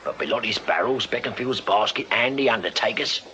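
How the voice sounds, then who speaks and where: An actor playing a loyal, plodding Victorian police constable in a radio drama, deferential and plain-spoken, with a London accent. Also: male voice, fairly low pitch, a little fast, sharp tone, highs cut off, a little hiss or hum. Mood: doubtful, deferential. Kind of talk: full-cast period radio drama acting.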